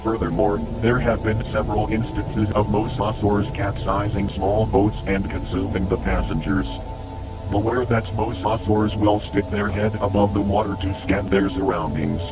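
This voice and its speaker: A man's voice: lowish voice, flat delivery, normal speed, close to an everyday voice, low-quality recording. A man playing a formal, impersonal announcer of an ominous public warning tape in an analog horror video. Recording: loud music over warning tape.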